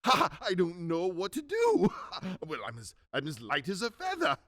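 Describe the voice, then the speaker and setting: A man playing a reformed old miser in a radio drama, giddy with joy and stammering as he excitedly repeats himself. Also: high-pitched, moderate pace, no room sound, clean studio sound, heavily acted. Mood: giddy, overjoyed. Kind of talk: theatrical radio drama acting.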